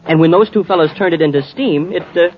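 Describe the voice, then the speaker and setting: A high, boyish young space cadet played by a man, eager and full of awe toward his superior. He exclaims like a sidekick in a 1950s radio serial. The old recording is muffled, with clear noise behind him. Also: fast talker, lightly acted. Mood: earnest, wondering. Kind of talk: 1950s radio adventure acting.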